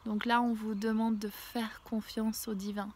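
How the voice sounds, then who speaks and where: A woman with a head cold doing a casual spiritual card reading outdoors. She pauses often with fillers and sometimes drops to a hushed near-whisper. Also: on the high side, level tone, slight background noise. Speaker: oracle card reader. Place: outdoors in a small mossy spot with violets.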